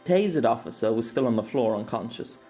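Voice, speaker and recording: A man narrating an audiobook in a steady storytelling voice. His pitch sits at a middle level for a man. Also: fast talker, soft background music. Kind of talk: scripted narration.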